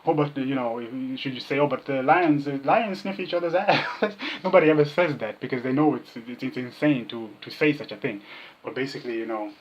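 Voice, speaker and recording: A man's voice: mid-range voice, a little fast, a little hiss or hum. A man from Kenya talking casually as an interview guest, repeating himself and jokingly mimicking the people he argues with. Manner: jokey, repetitive, mimics opponents' remarks. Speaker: vegan activist, interview guest. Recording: dull, muffled lo-fi sound.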